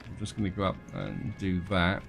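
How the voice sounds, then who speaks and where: A man with a croaky, congested voice from a cold, casually commenting on his gameplay and thinking aloud as he goes. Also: sits low, little pitch movement, moderate pace, music in the background. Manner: rambling, thinks aloud with fillers and restarts; reads on-screen game text aloud.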